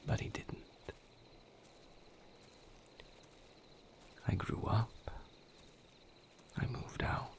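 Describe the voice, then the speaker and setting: A man playing a brooding vampire lover in an intimate audio roleplay, confiding his grim past in a halting, somber, breathy near-whisper. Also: lightly acted. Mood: somber, bitter.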